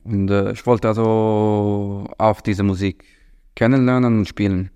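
A Palestinian musician, answering interview questions in halting German and searching for words. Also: male voice, lowish voice, flat delivery, warm tone, clear, resonant tone, no echo. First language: Arabic. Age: 30s. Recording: music playing behind.

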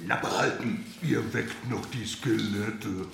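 A man playing a gruff, impatient pirate jailer in a children's radio play, gravelly and barking orders with grim mockery. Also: on the low side, slow, crowd noise, exaggerated voice. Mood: gruff, bossy. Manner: barking orders, grimly mocking. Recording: echoey, with music behind.

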